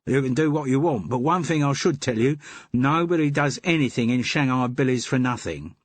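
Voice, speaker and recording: A man's voice: mid-range voice, expressive, moderate pace, no echo, pitched above the performer's own voice, lightly acted. A man voicing a shifty, grudging male opium-den keeper with a Cockney twang and a sly, wheedling manner. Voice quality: put-on routine voice, sly and wheedling.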